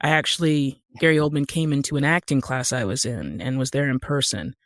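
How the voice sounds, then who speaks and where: An American man speaking conversationally as an interview guest, telling stories in an animated way. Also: medium pitch, fairly quick, no room sound, studio quality. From Utah, United States. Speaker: podcast guest, actor and screenwriter.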